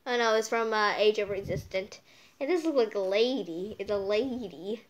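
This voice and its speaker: A woman's voice: mid-range voice, normal speed, very noisy. A woman hosting a casual storytime read-aloud, drifting off into playful, whimsical asides as she goes.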